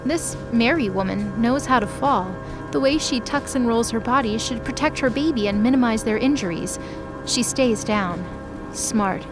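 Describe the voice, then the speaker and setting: A woman narrates an audio drama in a fairly high voice. She reads scripted third-person action as the storyteller. Clear music plays behind her. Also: moderate pace, low-quality recording.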